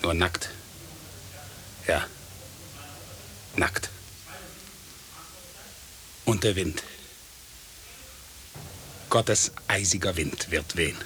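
An actor playing a stern, tormented husband with a grave, urgent, threatening manner in an old radio drama. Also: male voice, deep voice, very slow, long pauses, sharp tone, full character voice. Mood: bleak, solemn. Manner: grave, intense, theatrical. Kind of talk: mid-century German radio drama acting. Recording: hissy vintage 1950s radio recording.

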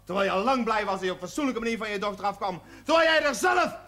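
Combative working guy, really high voice, needling, period tv drama. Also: animated, very fast, heavy background noise. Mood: angry, scornful. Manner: blunt, needling. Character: blunt, combative socialist-minded working man, scornful of bosses. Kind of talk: television period drama acting.

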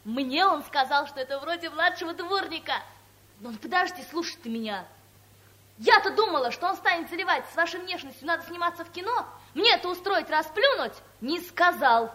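A breezy, know-all secretary, young and full of gossip. Her voice is high and lively, with a colloquial radio comedy delivery. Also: normal speed. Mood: breezy, chatty. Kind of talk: radio comedy play acting.

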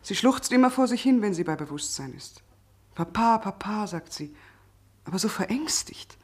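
Anxious, tender period lady: husky, half-whispered, grave, radio drama delivery. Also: medium pitch, lively intonation, normal speed. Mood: sorrowful. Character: worried, tender gentlewoman, grave and hushed. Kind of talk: 1960s German radio drama acting.

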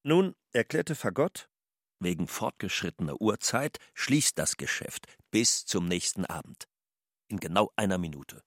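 Low, husky male narrator. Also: sing-song, measured pace, dry recording, studio quality. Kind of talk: scripted radio drama narration.

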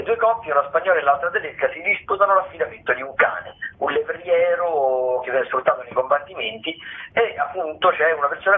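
Brief: male critic, slightly high voice, informal and halting reviewer, tinny telephone sound. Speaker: theatre critic, radio contributor. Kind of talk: informal spoken listings review. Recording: tinny narrow-band phone line.

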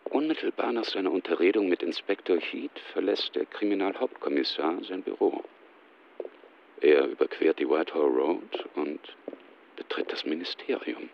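Deep gravelly male drama narrator. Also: normal speed, highs cut off, very noisy. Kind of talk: scripted radio drama narration.

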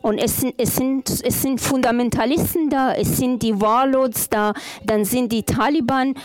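A woman interpreting at a panel talk in the first person, haltingly, often repeating and rephrasing her phrases. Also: on the high side, fairly even intonation, a little mellow, no echo.

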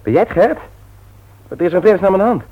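Theatrical young husband, dull vintage recording. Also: male voice, sits high, lively intonation, rapid-fire, slightly rough. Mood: startled, flustered. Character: eager, ambitious husband and company secretary, affectionately teasing. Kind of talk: 1960s Dutch radio serial acting.

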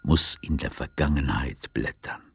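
Grave oracle guy, super deep n slow, solemn intro to an audio drama. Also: creaky, husky, no echo, studio quality, full character voice. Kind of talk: scripted audio drama prologue.

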